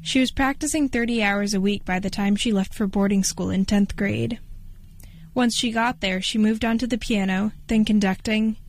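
A woman radio reporter delivering steady, measured scripted narration. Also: mid-range voice.

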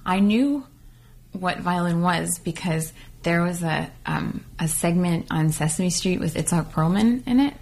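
Soft-voiced young woman with a creaky edge. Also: lowish voice, unhurried. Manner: thoughtful, hesitant, with fillers. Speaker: composer and doctoral student. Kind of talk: interview excerpt.

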